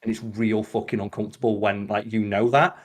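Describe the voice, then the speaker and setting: A man's voice: on the low side, brisk. Man rambling animatedly in casual podcast chat. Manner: animated retelling, rambling, peppered with "like". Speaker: podcast host.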